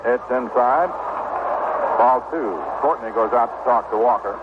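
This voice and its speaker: A man's voice: medium pitch, measured pace, phone-quality sound, heavy background noise. A man announcing on baseball radio in a 1960s play-by-play commentary style, on a vintage broadcast. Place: stadium broadcast booth.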